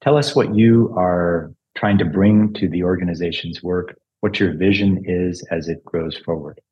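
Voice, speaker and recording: A man hosting a podcast, introducing his guests and asking questions in a relaxed way with fillers. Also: low-pitched, normal speed, muffled recording. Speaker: podcast host. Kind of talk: interview questions.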